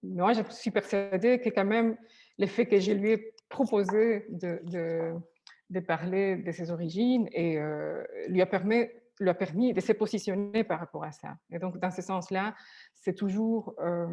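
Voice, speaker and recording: A woman's voice: lowish voice, moderate pace, warm tone. A woman therapist whose first language is Spanish, speaking hesitantly with many restarts and fillers.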